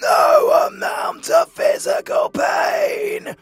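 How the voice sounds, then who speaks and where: A man's voice: very high voice, extremely slow, a little hiss or hum, heavily acted. A British man playing a tormented, starving undead husband in a horror audio roleplay, crying and pleading in anguish. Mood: tearful remorse. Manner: anguished, melodramatic pleading in broken shouts.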